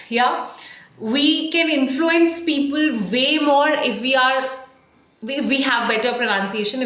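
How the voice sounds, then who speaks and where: Animated Indian woman coach, echoey room. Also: a little high. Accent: Indian English. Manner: animated, emphatic, rhetorical questions to viewer. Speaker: communication coach. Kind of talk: to-camera tutorial. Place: small tiled room, indoors, at a desk.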